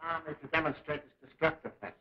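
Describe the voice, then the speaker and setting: A man's voice: fairly high pitch, racing, lightly acted. A man playing a cold, commanding enemy scientist in a 1940s film serial, speaking formally and precisely. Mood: grandiose, menacing. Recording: dull lo-fi 1940s serial soundtrack.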